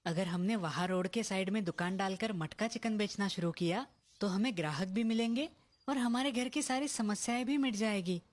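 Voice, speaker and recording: A woman voicing a sensible, encouraging young village son, earnest and persuasive, in an animated moral story. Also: mid-range voice, normal speed, close to an everyday voice.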